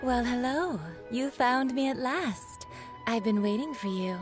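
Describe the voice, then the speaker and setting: Flirtatious, teasing perfume maker. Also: female voice, a little high, animated, a little slow. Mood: coy, welcoming. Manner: coy, playful, knowing. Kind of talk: video game voice acting. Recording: music behind the voice.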